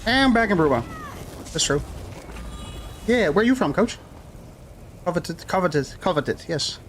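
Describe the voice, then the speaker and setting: A man streaming a game live, rambling casually and thinking out loud as he plays and answers chat. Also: high-pitched, animated, unhurried, slight background noise. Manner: rambling, laid-back, thinks aloud with fillers.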